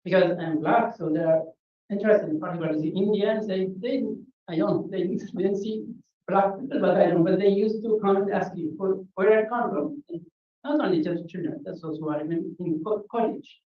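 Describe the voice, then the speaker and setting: A woman from Ethiopia with an Ethiopian accent, giving an unscripted conference talk in a halting way, full of restarts and fillers. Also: mid-range voice. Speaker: conference speaker. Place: conference hall. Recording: muffled, echoey, distant from the mic.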